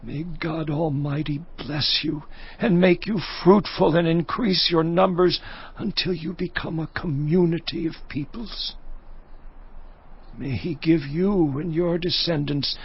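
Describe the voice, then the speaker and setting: A man's voice: a little high, slightly rough. A man playing an old, frail blind father, solemnly giving blessings in a dramatized audio Bible. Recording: dull lo-fi sound, faint music.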